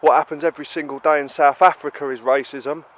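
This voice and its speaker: A man's voice: on the high side, steady tone, quick pace. A British man with a stuffy nose from a cold, ranting heatedly in an emphatic monologue full of repeated rhetorical questions. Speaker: vlogger.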